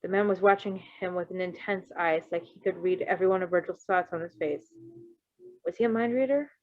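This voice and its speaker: A woman reading fan fiction aloud in a casual, giggly, expressive way, breaking into chatty asides. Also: lowish voice, brisk, muffled recording. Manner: giggly, expressive, exclaiming and swearing. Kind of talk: giggly live read-aloud with casual chat.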